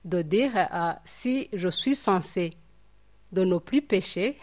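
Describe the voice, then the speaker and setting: A woman's voice: fairly low pitch, expressive, slow pace. A woman from Rwanda leading a Bible study in French. She talks in a hesitant, reflective way, with many fillers and repeated words. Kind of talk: informal Bible teaching.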